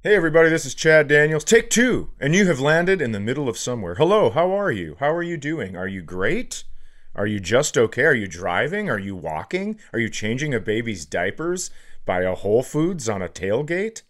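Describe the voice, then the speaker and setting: A man's voice: medium pitch, big pitch swings, normal speed, a bit bright. An American man co-hosting a podcast with casual comedic chat in a sardonic, sweary manner. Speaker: podcast co-host.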